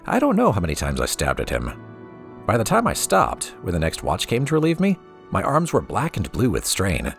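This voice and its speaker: Guy with a really low voice reading a story out loud, super up and down delivery, music playing. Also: huge pitch swings, moderate pace, vocal fry. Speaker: let's play host reading in-game books aloud. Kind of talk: expressive scripted narration, storybook reading.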